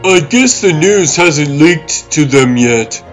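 Man voicing a slow, fussy old walrus. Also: fairly high pitch, expressive. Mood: worried. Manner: formal, hesitant, cautionary. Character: proper, fussy old male walrus uncle. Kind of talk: comic-book dub acting. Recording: music under the voice.

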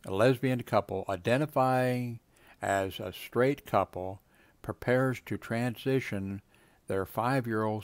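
A man's voice: low-pitched, no room sound. A man in his seventies reading web pages aloud at his home computer and wandering off into casual asides full of fillers. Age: 70s. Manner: meandering, many fillers and trailing asides. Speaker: video blogger.

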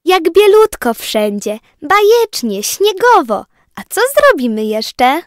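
Bossy, excitable angel child for a rhymed audio play female actor, high voice, deliberate pace, dry studio sound. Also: no echo, exaggerated voice. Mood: excited. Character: bossy, excited little angel rousing the others.

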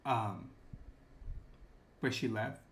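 A man's voice: fairly low pitch, very slow, fairly bright tone. A man in his twenties chatting casually on a live stream, laid-back and calling everyone "bro", reading chat aloud at his desk. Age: 20s. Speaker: livestreamer. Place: dark room with gaming chair and lights.

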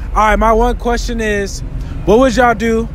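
A young American man in his twenties interviewing people on a city sidewalk at night, slangy and animated, repeating answers back. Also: extremely high pitch, normal speed. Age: 20s. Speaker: street interviewer and video host. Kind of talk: casual street interview banter. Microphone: handheld mic. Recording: street noise, music and crowd behind.

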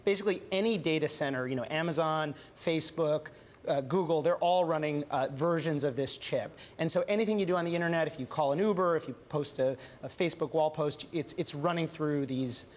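A man's voice: on the high side, a little fast. A young man reporter answering questions in a TV news studio, chatty and full of restarts and fillers.

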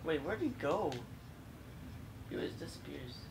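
A man with a voice worn from yelling, giving quick calls and swearing while playing a co-op shooter. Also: fairly high pitch, big pitch swings, creaky. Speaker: co-op gaming partner. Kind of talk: casual gaming chat. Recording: noisy room recording.

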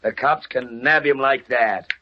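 An American actor playing a tough gangster henchman with a husky voice in an old radio drama. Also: medium pitch, a little slow, lightly acted. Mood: eager. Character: tough male gangster henchman, threatening, deferential to boss. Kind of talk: 1930s-40s radio acting. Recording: muffled vintage radio recording.

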